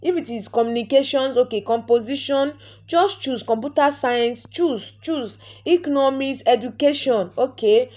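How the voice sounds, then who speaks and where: A woman's voice: high voice, normal speed, a little mellow. Nigerian woman walking through a tutorial. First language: Yoruba. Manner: chatty, repeats words and restarts often, instructive. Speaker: vlogger. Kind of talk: screen-recorded tutorial walkthrough.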